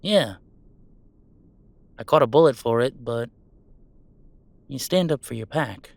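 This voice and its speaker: A man acts out a wisecracking young male werewolf in an audio roleplay. He has a mid-pitched voice and talks in affectionate, teasing banter with sarcastic flourishes. The sound is dry, with no room to it. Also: animated, slow pace, creaky, no room sound, full character voice. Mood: sincere, modest. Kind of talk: one-sided roleplay audio drama.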